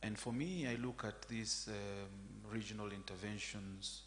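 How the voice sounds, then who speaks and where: Tanzanian male academic, flat low delivery with fillers, recorded in a reverberant hall with faint hum. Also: unhurried. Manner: friendly, hesitant with fillers. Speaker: academic panelist. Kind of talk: academic panel talk.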